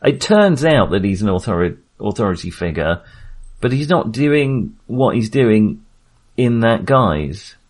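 A man with a fairly low voice takes part in a casual discussion of film. He speaks haltingly, searching for the right word and often starting a phrase again in new terms. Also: very animated. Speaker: film podcast host.

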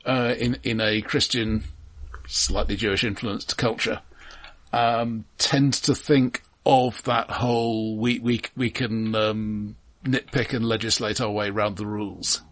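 A man's voice: on the low side, fairly even intonation, a little slow, fairly bright tone. A man co-hosting a film podcast in casual discussion, speaking hesitantly and repeating words as he thinks aloud.

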